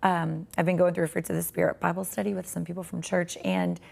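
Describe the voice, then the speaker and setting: A woman in her forties, a podcast guest with a raspy, tired voice, talking earnestly and reflectively about faith. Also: lowish voice, level tone, fast talker, a little mellow. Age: 40s.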